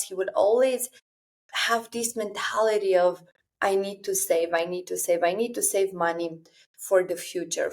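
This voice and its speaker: Romanian woman in her 30s, solo podcast host, confiding and earnest, mid-pitched voice. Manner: earnest, confiding, speaking directly to listeners. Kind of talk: solo personal podcast monologue.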